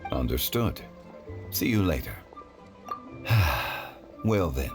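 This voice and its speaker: A man voicing a refined, composed scholarly gentleman in an anime game, formal, measured and courteous. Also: sits low, very animated, soft background music, heavily acted. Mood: calm. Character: refined, composed scholarly gentleman, ancient soul living as mortal.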